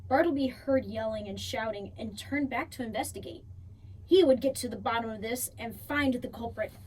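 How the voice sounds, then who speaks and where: A woman reading a picture book aloud for children in an expressive storytelling style, drawing out key words. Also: fairly high pitch, normal speed. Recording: distant mic, faint background noise.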